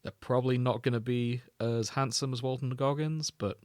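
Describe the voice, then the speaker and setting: A man's voice: mid-range voice, steady tone, normal speed, darkish tone, dry recording. Dry-sounding male podcast host, casual banter. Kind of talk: casual banter between co-hosts.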